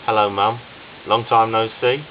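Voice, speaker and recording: A man's voice: on the low side, fairly even intonation, unhurried, highs cut off, a little hiss or hum, lightly acted. A man voicing a thug claiming to have changed, falsely cheerful and menacing. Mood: smooth. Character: reformed-thug son, menacing calm.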